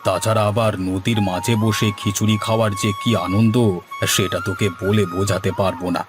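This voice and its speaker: A man voicing a genial village man in an animated cartoon, with music behind him. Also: on the low side, brisk, natural voice. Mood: cheerful. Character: genial village men, mainly easygoing middle-aged headman.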